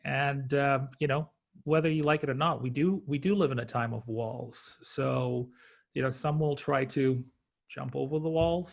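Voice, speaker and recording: A man from Tanzania who later lived in Canada and Australia, speaking as a panelist in an earnest, reflective way with hesitant pauses. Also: mid-range voice, gravelly. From born in Tanzania, later Canada and Australia. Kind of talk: reflective personal introduction.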